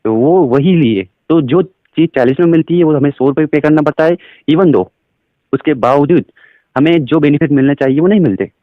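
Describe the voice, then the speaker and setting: A man with an Indian accent and a slightly high voice pitches direct selling on a phone call. He explains step by step and keeps putting questions to the listener, slipping in English phrases. The recording is dry and somewhat muffled. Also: expressive, moderate pace, dry recording.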